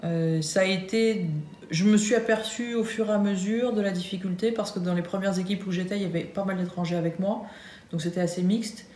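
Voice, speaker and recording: Bavardage de vlog décontracté, femme française, pièce qui résonne, léger bruit de fond. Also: female voice, mid-range voice, steady tone, fairly quick, mellow. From France. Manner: hesitant, many fillers and restarts. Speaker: Nike employee in the US. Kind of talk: casual vlog chat. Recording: echoey room with faint hum.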